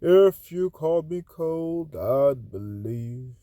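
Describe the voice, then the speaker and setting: A man from Haiti reading his own spoken-word poems aloud, swinging between loud declarations and hushed, breathy asides. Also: on the high side, lively intonation, takes it slowly, no room sound.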